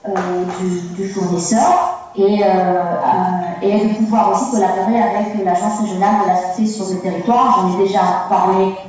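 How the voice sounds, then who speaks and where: A woman giving a spoken progress report to an assembly, speaking hesitantly with very frequent filled pauses. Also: mid-range voice, steady tone, darkish tone. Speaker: Rotary club representative giving a project report. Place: remote phone call heard through a large echoey room. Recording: distant, very echoey phone call played in hall.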